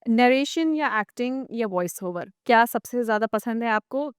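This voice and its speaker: A woman's voice: a little high, expressive, normal speed, clean tone, dry recording, clean studio sound. A woman with an Indian accent, chatting casually as the host of an interview podcast.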